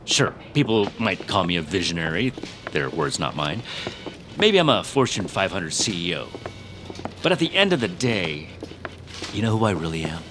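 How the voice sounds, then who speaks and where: Self-important ceo boss in audio drama, deepish, super animated, condescending, music under it. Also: male voice. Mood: pompous, chummy. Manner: pompous, theatrical, smoothly condescending, dramatic pauses.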